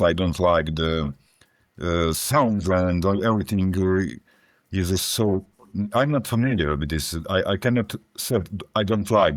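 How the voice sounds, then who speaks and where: A man, answering interview questions haltingly and restarting often as he searches for English words. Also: sits low, dry recording. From Croatia. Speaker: guest.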